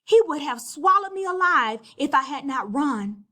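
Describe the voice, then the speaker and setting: Woman putting on a hysterical young woman, frightened, high and raised voice, frantic pleading, storybook folktale. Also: expressive, normal speed, sharp tone. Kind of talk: storybook read-aloud.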